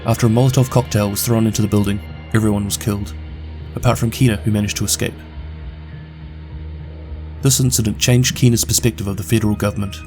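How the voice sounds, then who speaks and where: A man with a New Zealand accent narrating a scripted lore recap in a brisk, steady delivery. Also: lowish voice. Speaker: video game lore narrator.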